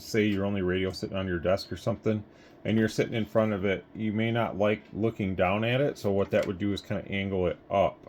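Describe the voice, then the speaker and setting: Low-pitched man, casual gear review. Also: a little mellow. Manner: rambling, thinking aloud. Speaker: product reviewer and host. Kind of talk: casual unboxing review, talking through thoughts. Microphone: phone, handheld. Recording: clear background noise, recorded on a phone.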